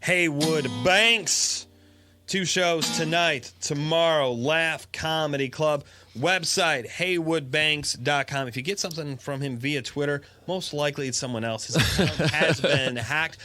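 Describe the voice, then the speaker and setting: A man's voice: on the high side, a little slow, bright, forceful tone. A male radio host speaking in an upbeat, announcer-style way, plugging a comedian's shows from the studio. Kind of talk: radio chat and promos.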